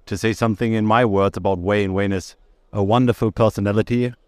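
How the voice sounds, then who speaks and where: A man co-hosting a podcast, introducing the guest in a warm, complimentary way with jokes. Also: fairly low pitch, steady tone, a little fast, no echo, studio quality. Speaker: podcast co-host. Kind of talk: conversational interview.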